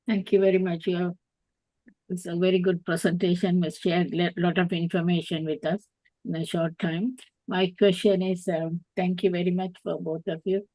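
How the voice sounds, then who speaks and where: A woman's voice: on the low side, level tone, normal speed, mellow, dry recording, rough recording. A woman from Sri Lanka politely asking a question at an online meeting, with some hesitation. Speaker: meeting participant. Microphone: video call.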